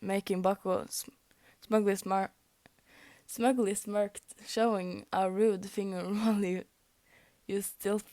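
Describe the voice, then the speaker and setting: Norwegian-speaking young woman reading a fanfic out loud, trips on words a lot, giggles at herself, dry close sound. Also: medium pitch, level tone, takes it slowly, no room sound. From Norway. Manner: stumbles over words and laughs at her own slips. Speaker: fanfic reader. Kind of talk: reading fan fiction aloud.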